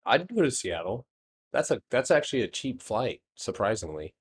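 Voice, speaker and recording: A man's voice: mid-range voice, very animated, normal speed. A man in his late twenties hosting a live stock trading stream, chatting casually and rambling with jokes that trail off into mumbles. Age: 20s.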